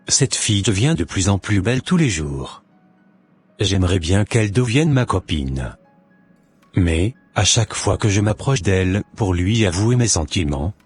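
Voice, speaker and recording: A man's voice: low-pitched, husky, heavily acted. A man voicing a teenage boy who persistently courts a girl, coaxing and insistent.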